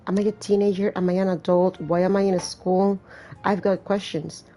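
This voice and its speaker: A woman's voice: mid-range voice, flat delivery, brisk, dark tone, a little hiss or hum. A woman hosting a gaming video, reading game text aloud and breaking off for wry, blunt, casual asides. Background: faint game music.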